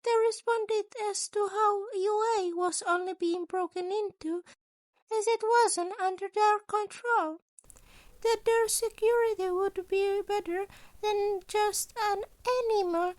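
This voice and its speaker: A woman's voice: very high voice, fairly even intonation, slow, no echo, voice pushed up. A woman voicing a small male animal school principal, a cunning, gleeful schemer who talks in formal, wordy sentences in a fan fiction audio story. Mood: scheming, intense. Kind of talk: acted dialogue in a fan fiction reading.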